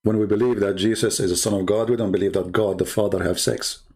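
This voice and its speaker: Gravelly Arabic-accented man challenging callers. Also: lowish voice, fairly even intonation, fast, a little mellow. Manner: challenging, taunting, inviting callers. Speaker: online debate host. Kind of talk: live-streamed religious debate talk.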